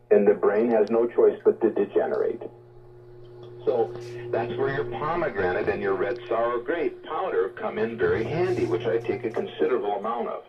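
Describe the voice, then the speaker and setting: A man speaks through a thin, phone-like shortwave signal, sounding distant from the mic. His pitch moves around a lot as he rambles through health advice. He is emphatic and exhorting, in an unscripted radio talk style. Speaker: guest promoting health supplements.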